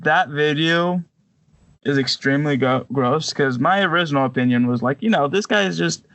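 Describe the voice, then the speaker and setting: A man talks casually as a podcast co-host, with noticeable vocal fry in a mid-range voice. His delivery halts and stammers as he works through his opinion. The sound is dry and close. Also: medium pitch, dry recording, low-quality recording.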